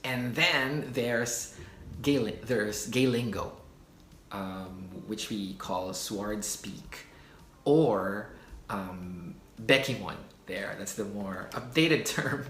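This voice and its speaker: A man with a Filipino accent explaining things in a relaxed, casual way, with many fillers and restarts. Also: fairly low pitch, very slow, a little hiss or hum. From Philippines. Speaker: video host. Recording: echoey room.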